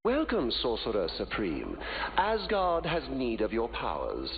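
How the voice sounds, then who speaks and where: An actor playing a haughty, sly Norse trickster god in a video game, with a theatrical, sneering delivery. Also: male voice, medium pitch, wildly swooping pitch, a little slow, in a large hall, lo-fi sound, slight background noise. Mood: sly, lofty. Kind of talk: video game villain voice lines.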